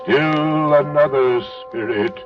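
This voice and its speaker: Slow, solemn aging Christmas spirit. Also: male voice, a little high. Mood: solemn farewell. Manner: grave, portentous. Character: solemn, fading aged male Christmas spirit. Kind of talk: old-time radio drama acting. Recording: dull old radio recording with music.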